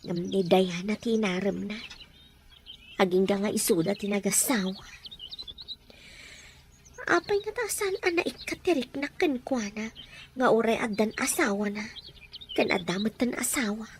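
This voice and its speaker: A woman's voice: medium pitch, a little slow, long pauses, slight background noise, close to an everyday voice. A woman with a Filipino accent playing a flirtatious, scheming farm wife and mother in an audio drama. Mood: wistful, scheming. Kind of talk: Ilocano audio drama acting.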